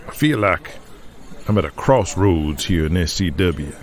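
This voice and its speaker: A man voicing a weary, troubled male wrestler and booker who speaks in a halting, folksy way. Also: deep voice, animated. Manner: quiet, halting, folksy grammar. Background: music underneath.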